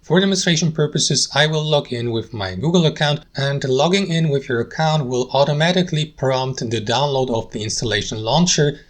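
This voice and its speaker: A man hosting a screen-recorded software tutorial, talking viewers through each step in an upbeat way and calling them "guys". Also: mid-range voice, fairly quick, few pauses. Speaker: tutorial host.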